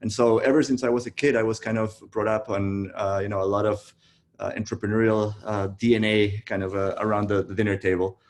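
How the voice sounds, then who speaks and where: A low-pitched man from Costa Rica speaks in an even, flat tone. He tells his story in a relaxed way, full of fillers and restarts, over a video call. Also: a little fast. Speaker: guest, tech founder. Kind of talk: casual virtual interview.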